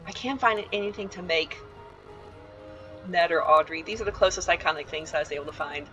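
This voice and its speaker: Bright-voiced chatty woman touring a game house. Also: lowish voice, fairly quick, soft background music. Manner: chatty and rambling, with frequent ums and asides. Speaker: video game tour guide. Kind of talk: casual gameplay voice-over tour.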